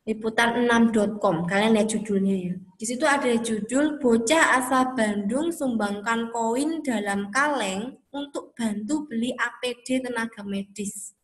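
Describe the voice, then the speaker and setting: A woman's voice: on the high side, unhurried. A woman teaching a recorded school lesson, chatty and encouraging as she addresses her pupils directly. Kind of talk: recorded classroom lesson for children. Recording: echoey, roomy sound.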